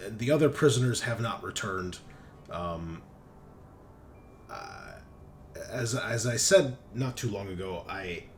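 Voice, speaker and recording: Noisy recording, man as anxious ship repairman, tabletop game, grave, halting, repetitive, lowish voice. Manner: halting, grave, repeating himself. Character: anxious, weary ship repairman under time pressure.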